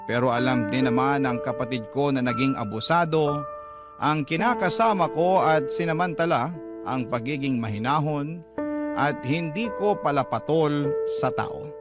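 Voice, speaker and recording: A man's voice: fairly high pitch, moderate pace, close to an everyday voice. An actor with a Filipino accent narrating as a meek, reflective man confessing his love story in a scripted radio drama. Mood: reflective, sorrowful. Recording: loud instrumental music under narration.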